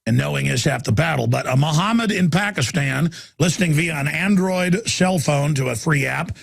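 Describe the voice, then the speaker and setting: A man hosts a call-in radio show in a gravelly, husky voice that sits a little high. He talks emphatically in long, rambling runs and often doubles back on his words. The sound is dry and close to the mic. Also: moderate pace, dry recording. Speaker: radio talk show host.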